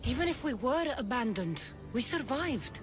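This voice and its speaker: A woman's voice: high-pitched, big pitch swings, moderate pace. An actress voicing a determined young female mercenary hero, firm and earnest in a video game cutscene. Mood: resolute. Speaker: game protagonist. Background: music.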